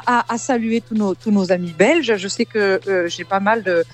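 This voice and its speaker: Female singer, 50s, from southern France, halting mid-pitched speech, faint music under. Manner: hesitant, repeats words and fills with euh. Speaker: singer, interview guest. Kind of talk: casual interview.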